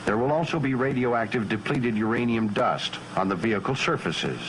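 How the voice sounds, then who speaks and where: An American man narrating a military training film in a formal, scripted style, measured, precise and matter-of-fact. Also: mid-range voice, expressive, a crowd in the background, poor audio.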